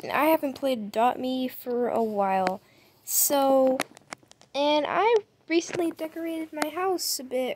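High slow-talking woman gamer. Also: background noise. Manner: chatty, rambling with fillers. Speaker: gaming YouTuber. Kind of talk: casual game house tour. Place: home setup.

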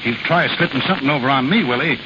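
An actor playing a gruff, slangy carnival barker and protective father, in 1930s radio drama style. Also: medium pitch, rapid-fire, natural voice. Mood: angry. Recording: scratchy, dull 1930s radio broadcast.

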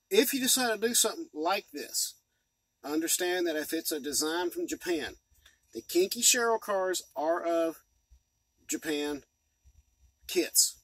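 A man's voice: fairly high pitch, a bit bright, no echo, poor audio. A man in his forties from the United States talking in a rambling, folksy way, giving a casual hobby vlog update from inside a truck cab. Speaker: model train hobbyist and video host. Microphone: phone or camera at arm's length.

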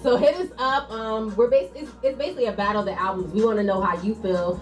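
A woman's voice: a little high, fast. A young woman hosting a music radio show with casual, playful, teasing banter. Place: small office radio studio. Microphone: desk microphone on a boom stand. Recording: distant, echoey mic with music underneath.

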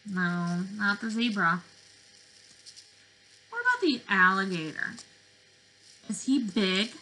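A woman in her twenties, a speech therapist, reading to preschoolers in an animated, questioning way, recorded on a webcam in a bedroom. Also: on the high side, a little hiss or hum, poor audio. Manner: animated, questioning delivery for young children. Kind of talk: interactive children's read-aloud lesson. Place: small bedroom indoors.

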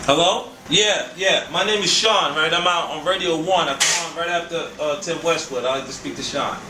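A man's voice: a little high, moderate pace, reverberant, background noise. A man talking in casual, profane street slang on a phone call in a small office. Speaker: prank caller.

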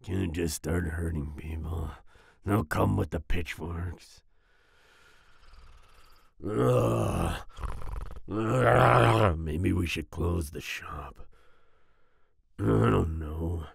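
A man playing a volatile but soft-hearted werewolf boyfriend in an ASMR roleplay, growling and raspy, with sighs and groans. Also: low voice, no room sound, clean studio sound, exaggerated voice. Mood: sulky, resigned. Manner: snarling outbursts, sighs and groans, slow brooding delivery. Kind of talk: ASMR roleplay audio drama.

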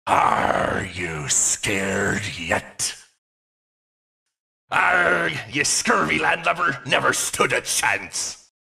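An actor playing a menacing, swaggering robot pirate fox with a growling pirate manner. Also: male voice, mid-range voice, sing-song, very slow, vocal fry, bright, forceful tone, exaggerated voice. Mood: menacing glee.

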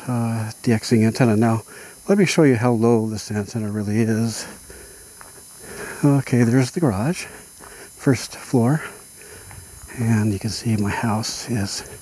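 A man with a slightly husky voice gives a casual, unscripted walkaround demonstration as a hobbyist, explaining things in pieces with pauses mid-phrase. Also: on the low side, mellow, rough recording. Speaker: amateur radio hobbyist vlogger. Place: outdoors in a house yard and driveway.

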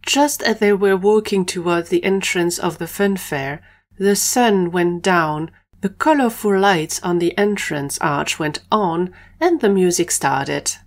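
A woman's voice: fairly low pitch, measured pace. A woman reading scripted narration for an audio adventure story.

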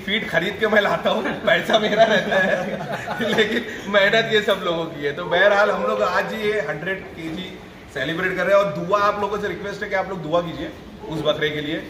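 A man's voice: high voice, normal speed, a crowd in the background. A man in his thirties who runs a goat farm, chatting casually for his vlog and coaxing others to join in, filmed in a covered shed at night. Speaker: goat farm owner and vlogger. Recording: echoey shed with loud background noise.

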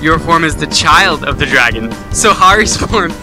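A playful young man voiced by a male actor, teasing his friend in a fairly high voice. It is radio drama acting with music clearly behind it. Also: lively intonation, normal speed, natural voice. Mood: teasing, amused. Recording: music under the voice.

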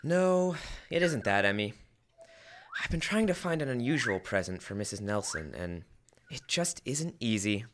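Young man playing an earnest, flustered husband, animated. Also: medium pitch, normal speed, noisy background, lightly acted. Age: teens to young adult. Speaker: student actor. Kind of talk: old-time radio comedy acting. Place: school library room, staged performance. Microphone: stand microphone on stage.

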